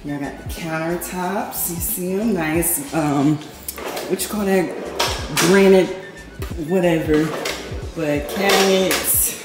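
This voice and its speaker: Low-voiced woman in an echoey empty apartment. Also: fairly even intonation, slow pace. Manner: chatty, upbeat, says "boom" and "period" a lot. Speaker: vlogger. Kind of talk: casual apartment tour vlog. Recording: echoey empty room with music behind. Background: background music.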